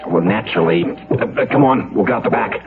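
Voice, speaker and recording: An actor playing a timid, stammering, whiny husband and reluctant crook in a comedic radio drama. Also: male voice, lowish voice, fast, natural voice. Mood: panicky. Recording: tinny old radio recording, music.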